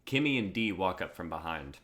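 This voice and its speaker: A man's voice: low voice, sing-song, fairly quick, fairly bright tone. A man chatting casually and reading script narration on an online stream. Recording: clearly noisy mic with keyboard clicks.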